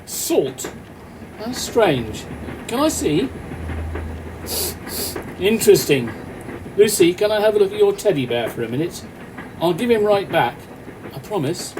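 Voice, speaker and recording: Man acting calm, commanding off-duty policeman. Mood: probing. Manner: measured, reassuring, authoritative. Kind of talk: amateur radio play acting. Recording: noisy, train carriage rumble behind.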